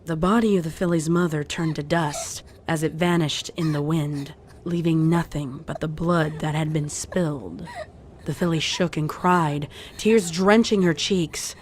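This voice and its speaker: Low-voiced woman narrating a horror story. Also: steady tone, measured pace, a little hiss or hum. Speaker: narrator of a horror fan fiction. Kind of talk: scripted dramatic story narration. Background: music under the voice.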